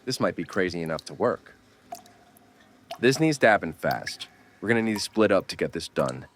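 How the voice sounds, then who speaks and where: A man playing a gruff, world-weary survivor who takes charge in an audio horror drama. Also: low-pitched, big pitch swings, normal speed, slight background noise. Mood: determined.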